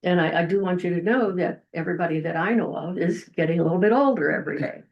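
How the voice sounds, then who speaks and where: Older woman, loud and folksy, low-ish voice, rambling public comment. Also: quick pace, muffled recording. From Eldora, Iowa. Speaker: resident giving public comment. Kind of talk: public comment at a county meeting.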